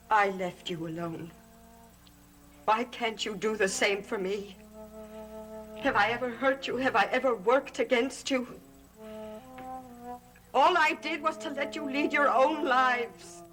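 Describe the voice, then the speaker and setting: Witches' daughter: wounded but defiant, slow dramatic delivery, gothic soap with music underneath. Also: a little high, fairly bright tone. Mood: pleading.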